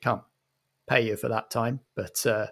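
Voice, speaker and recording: A man with a mid-pitched voice directs as game master. He sets up improvised comedy scenes deliberately, stopping and starting as he goes. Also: steady tone, a bit bright. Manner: halting, deliberate.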